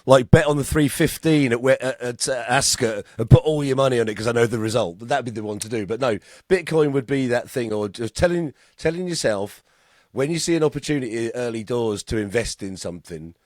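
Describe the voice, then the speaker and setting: A man's voice: medium pitch, fairly quick, no echo, clean studio sound. A man, co-hosting a podcast in an easygoing, laughing way. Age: 50s. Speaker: podcast co-host. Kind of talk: casual banter.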